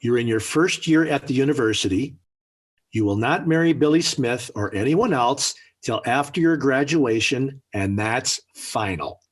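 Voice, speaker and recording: A man's voice: mid-range voice, lively intonation, a little slow, husky. An actor playing an exasperated, blustering middle-aged father in an emphatic, flustered 1940s radio sitcom style. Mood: indignant. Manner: emphatic, flustered, sputtering indignation. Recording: old 1940s radio recording.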